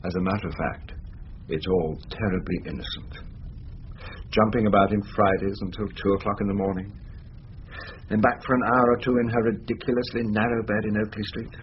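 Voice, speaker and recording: An actor playing a stuffy middle-aged upper-class English lawyer in a radio drama, with a prim, rueful, self-important manner. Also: male voice, on the low side, steady tone, a little fast, dull audio, slight background noise, lightly acted. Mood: sheepish confession.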